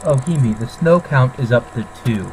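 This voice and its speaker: A man with a mid-range voice chats casually as a co-host, making dry and sardonic comments. The home recording has clear noise behind him. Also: mid-range voice, normal speed, mellow, rough recording. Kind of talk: casual mocking banter.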